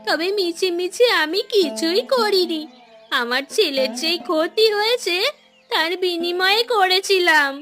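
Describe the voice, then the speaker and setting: A woman's voice: extremely high pitch, unhurried, a bit bright. A woman playing an aggrieved, defiant mother from a poor village in a fairy-tale cartoon, with a plaintive, protesting delivery. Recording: music behind the voice. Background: background music.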